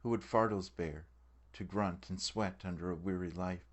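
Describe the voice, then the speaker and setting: Male actor as brooding tragic prince, deep voice, measured Shakespearean verse, world-weary, declamatory. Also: fairly even intonation. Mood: rising anguish. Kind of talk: dramatic soliloquy reading, Shakespearean verse.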